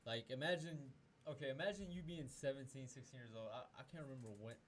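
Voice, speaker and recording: A man's voice: mid-range voice, quick pace, slight background noise. A man in his twenties from Peru, hosting a casual podcast chat in a loose, profane, rambling way with many restarts. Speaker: podcast host.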